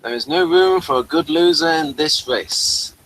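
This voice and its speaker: Bright-voiced man rambling through casual commentary. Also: a little high, rough recording. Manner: rambling, halting, trailing off mid-sentence. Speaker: co-host of an episode review. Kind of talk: casual running commentary on a TV episode.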